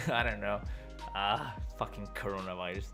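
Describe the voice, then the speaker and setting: A man's voice: lowish voice, fairly even intonation, normal speed, gravelly, pushed and bright, soft background music. A young man hosting a casual livestream, rambling loosely, laughing often and swearing as he answers chat. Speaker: livestream host reading chat.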